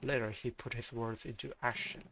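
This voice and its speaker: Mysterious grave caller read by a man, solemn, measured, gravelly. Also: mid-range voice, fairly even intonation, background noise, lightly acted. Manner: solemn, measured, reading game dialogue aloud.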